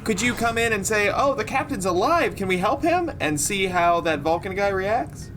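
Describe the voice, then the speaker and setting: Casual male player, noisy rumbling recording. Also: lively intonation, normal speed, a bit bright. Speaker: tabletop game player. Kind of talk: casual table chat.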